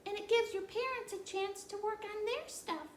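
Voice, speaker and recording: A woman's voice: very high-pitched, moderate pace, a little hiss or hum, pitched above the performer's own voice. Woman putting on a chirpy little girl. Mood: eager. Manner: eager, chirpy, begs for toast. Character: high-spirited little girl puppet. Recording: roomy echo.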